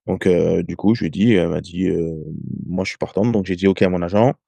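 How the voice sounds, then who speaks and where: A French man in his thirties, a rugby player guest, telling stories in casual podcast talk, halting with many hesitations and restarts. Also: sits low, gravelly, dark tone, no room sound. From France. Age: 30s. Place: home in Ireland.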